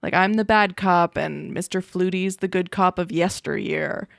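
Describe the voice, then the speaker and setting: A woman's voice: on the low side, normal speed, creaky, dry recording. A woman co-hosting a casual TV recap podcast, with a dry, joking way of talking. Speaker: podcast co-host.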